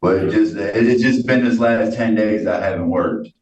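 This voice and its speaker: A man, a defendant answering a judge in a hesitant, halting way full of restarts. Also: on the low side, a little mellow. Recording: roomy, distant and dull.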